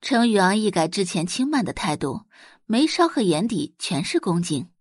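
A female audiobook narrator tells a story with widely moving intonation. The voice has a husky grain and is recorded close and dry, in a scripted, expressive storytelling style. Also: mid-range voice, normal speed, no echo.

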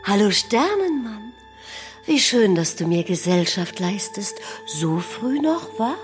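Slow, lively-pitched, kindly sun. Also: female voice, over music. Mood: friendly. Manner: tender, friendly, unhurried. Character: warm, kindly female rising sun greeting a friend. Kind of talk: children's audio play acting.

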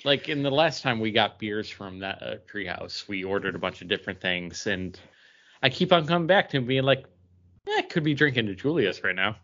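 Male co-host, expressive swings in pitch, relaxed conversation, dull-sounding recording. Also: a little fast. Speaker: podcast co-host. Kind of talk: casual chat about craft beer.

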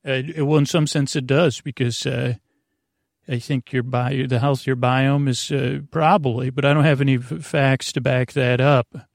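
A man hosting a bedtime podcast with a creaky, gravelly voice, meandering and halting in a goofy, self-deprecating monologue that keeps going off topic. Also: medium pitch, fairly even intonation, no room sound, studio quality. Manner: meandering, halting, goes off topic mid-sentence. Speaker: podcast host.

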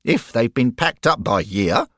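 A man voicing an eager, pedantic ghost schoolboy with a high treble voice who never stops reciting facts. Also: very animated, unhurried, fairly bright tone, no echo, clean studio sound. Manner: fact-reciting, polite, keeps saying sir. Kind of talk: audiobook character voice.

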